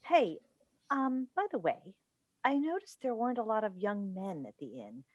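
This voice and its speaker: A woman's voice: medium pitch, sing-song, moderate pace, no room sound, highs cut off. A woman playing a driven, needling mother and broadcast advice host in a staged play reading over a video call. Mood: wounded, needling. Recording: dry video call recording.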